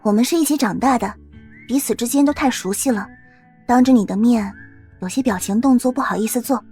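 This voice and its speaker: Here is an actress playing a blunt, cheerful college girl in an audio drama. She talks fast in a high, mellow voice with faint music behind her. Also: slightly rough. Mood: matter-of-fact. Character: cheerful, blunt young college woman with a crush.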